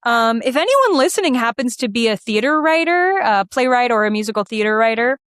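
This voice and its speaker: A woman chatting casually as a podcast guest, playful and animated in the way she talks. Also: a little high, fairly quick, smooth, dry recording, clean studio sound. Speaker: podcast guest, musical theater writer and performer. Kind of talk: casual interview chat.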